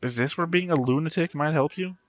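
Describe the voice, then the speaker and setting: A man's voice: on the high side, fairly quick, dry recording, soft background music. A man giving casual off-the-cuff commentary as a reaction host, with a dry, analytical way of talking.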